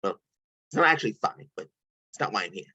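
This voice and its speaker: A man reading an eccentric, self-assured tech billionaire in a table read. He talks in an animated, rambling way, with a sarcastic edge. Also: lowish voice, fast, slightly rough, exaggerated voice. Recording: dull lo-fi home mic.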